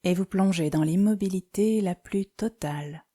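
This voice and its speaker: A woman leading a guided yoga nidra meditation as an instructor, speaking calmly and soothingly in short phrases with pauses. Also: fairly low pitch, breathy edge.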